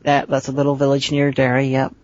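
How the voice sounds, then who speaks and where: A woman, co-hosting a podcast in casual chat and pausing often with "uh". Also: very deep voice, completely flat delivery, a little mellow. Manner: hesitant, fills pauses with "uh". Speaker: podcast co-host. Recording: dull lo-fi podcast audio.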